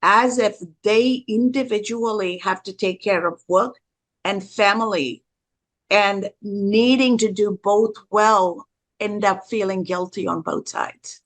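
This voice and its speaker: A woman's voice: medium pitch, slow pace, poor audio. Woman host from sri lanka, thoughtful questions, friendly interview talk. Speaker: podcast host. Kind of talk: conversational interview.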